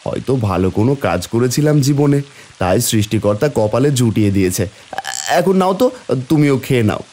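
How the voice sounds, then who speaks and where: Humble village husband acted by a man, lively intonation. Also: mid-range voice, brisk, slight background noise, natural voice. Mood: grateful, gentle. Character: poor, humble, good-natured village husband and father. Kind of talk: cartoon voice acting.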